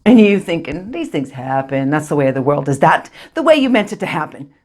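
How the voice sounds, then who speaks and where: Woman acting husky, hurt, bitter wife. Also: low voice, sing-song, a little fast, darkish tone. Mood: bitter, accusing. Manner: intense, accusing, controlled, dropping to near-whispers. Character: hurt, bitter wife confronting her cheating husband. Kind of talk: dramatic stage monologue.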